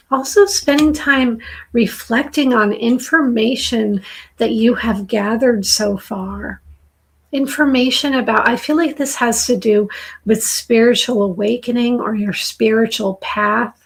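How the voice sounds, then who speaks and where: A middle-aged woman with a raspy, dry-throated voice, slowly and softly giving a live tarot reading as host. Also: on the high side. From southern New Hampshire. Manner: slow, soft, soothing intuitive reading.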